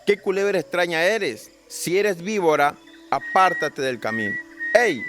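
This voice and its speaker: Man acting a declamatory hunter, higher-pitched. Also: unhurried, over music. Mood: alarmed, commanding. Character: wary Amazonian hunter, husband and father. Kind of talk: radio play acting.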